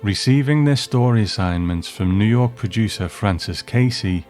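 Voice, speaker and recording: Guy hosting a scripted review, lowish voice, soft music bed. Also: expressive, moderate pace. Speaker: host.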